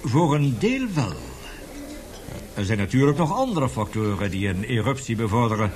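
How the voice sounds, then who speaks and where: A man's voice: mid-range voice, very animated, moderate pace, a little mellow, close to an everyday voice. An actor in a radio drama playing an embittered, passionate male professor who lectures emphatically and indignantly. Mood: lecturing, grave.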